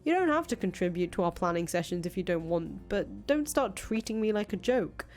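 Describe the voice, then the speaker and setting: A woman's voice: fairly low pitch, very animated, a little fast, a little mellow, pitched below the performer's own voice. A woman voicing a brash, grandiose young revolutionary leader in a visual novel, foul-mouthed, boastful and emphatic. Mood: angry, boastful. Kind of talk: visual novel character voice reading. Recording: music playing behind the voice.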